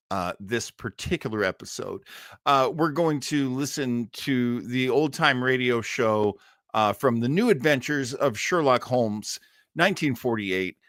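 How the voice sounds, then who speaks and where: A man's voice: mid-range voice, a bit bright, no room sound, clean studio sound. American man, casual host with swooping intonation. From United States. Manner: halting, theatrical, self-deprecating. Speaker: live stream host. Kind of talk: casual live chat introducing an old radio show.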